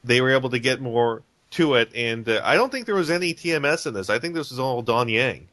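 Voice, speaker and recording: Guy hosting a chatty podcast, lots of uhs and restarts, mid pitch, super dry sound. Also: lively intonation, brisk, fairly bright tone, dry recording. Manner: rambling, many fillers and restarts. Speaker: podcast host. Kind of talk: casual chat about animated films.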